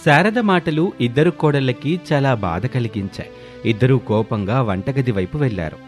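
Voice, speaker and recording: A man narrating a scripted animated story as its storyteller. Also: mid-range voice, moderate pace. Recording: voice over prominent music bed.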